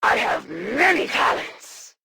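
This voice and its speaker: A woman voicing a proud, honor-bound alien female assassin for a video game, speaking in a formal, stately way with a gravelly, creaky edge. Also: a little high, very animated, background noise, full character voice. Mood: determined. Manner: formal, archaic, stately and deliberate. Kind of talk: video game villain voice lines.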